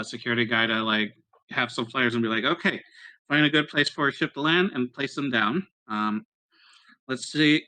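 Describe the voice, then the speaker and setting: A man with a bright, tense voice at medium pitch plays a tabletop game. He talks casually in character, bluntly but with many thinking pauses. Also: rough recording.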